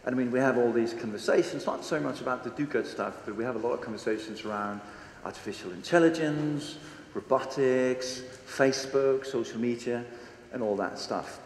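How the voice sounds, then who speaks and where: A man's voice: medium pitch, a little fast, slight background noise. A Danish-accented man in his forties talks to a big seated crowd, casual and blunt, swearing freely and throwing questions at the audience. His handheld mic picks up a roomy hall with a long reverb tail. A faint ambience runs underneath. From Denmark. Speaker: conference speaker. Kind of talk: energetic stage talk. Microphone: handheld microphone on stage.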